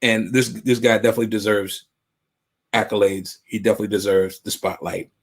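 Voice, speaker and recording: A man's voice: fairly low pitch, fairly even intonation, fairly quick. Friendly male podcast host. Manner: friendly, calls guest brother, loose street slang. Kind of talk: podcast interview hosting.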